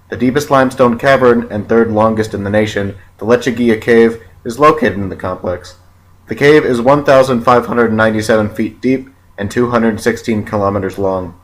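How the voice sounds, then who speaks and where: A man's voice: fairly low pitch, low-quality recording. Man reading scripted educational narration. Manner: measured, factual, read-aloud delivery.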